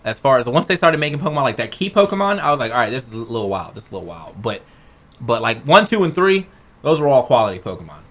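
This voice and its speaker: A man's voice: mid-range voice, very animated, fast talker, a bit bright. A man co-hosting a podcast, chatting casually and emphatically in slangy speech, often repeating his phrases. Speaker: podcast co-host. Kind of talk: casual chat.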